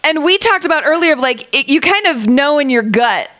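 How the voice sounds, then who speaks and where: A woman in her thirties from Colorado, co-hosting a casual morning radio chat and thinking aloud in a relaxed way. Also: sits high, brisk. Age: 30s. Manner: relaxed, thinks aloud with fillers like "like" and "you know".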